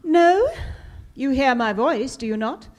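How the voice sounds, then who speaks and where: A woman's voice: sits high, animated, slow pace, darkish tone. A woman acting a gloating criminal mastermind in a 1940s-style radio drama, menacingly polite and relishing. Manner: mock-courteous, relishing, menacing.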